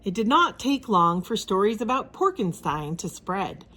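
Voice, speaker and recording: A woman reading a children's storybook aloud in a dramatic, expressive narrating style. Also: medium pitch, moderate pace, a little hiss or hum.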